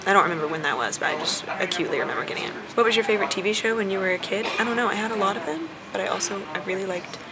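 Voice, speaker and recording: A young American woman with a lot of creak in her voice talks casually, like a vlogger answering questions for her viewers. She chews gum as she goes. Clear ambient noise sits behind her. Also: medium pitch, rapid-fire, few pauses. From southern United States. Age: young adult. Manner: chewing gum while talking.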